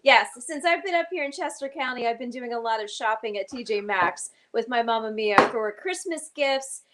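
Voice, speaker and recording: A woman's voice: fairly high pitch, normal speed, fairly bright tone. A woman from North Carolina, hosting a casual cooking segment and asking many questions. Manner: chatty, asks lots of questions. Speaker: host.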